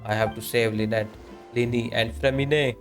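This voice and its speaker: A man's voice: mid-range voice, fairly even intonation, normal speed. A man streaming a video game, giving casual, informal commentary in a teasing, joking way. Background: game music.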